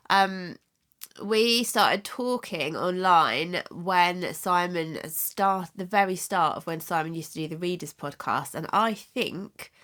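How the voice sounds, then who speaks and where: A woman with a cold, sounding bunged up and a bit hoarse, chatting casually as host of her own book channel. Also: medium pitch, fairly bright tone. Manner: chatty, rambling, with frequent restarts and fillers.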